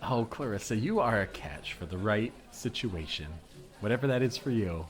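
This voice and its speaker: A man's voice: lowish voice, animated, moderate pace, natural voice. A man playing a needy, over-eager young woman in improvised comedy, talking in a hesitant, rambling, awkward way. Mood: flabbergasted, grateful.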